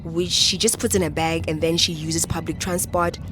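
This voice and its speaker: A woman's voice: sits low, moderate pace, over music, natural voice. An actress playing a playful, teasing young single woman with a Botswana accent in a radio drama. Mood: chatty, friendly. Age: young adult. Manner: teasing, expressive. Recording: noisy background ambience.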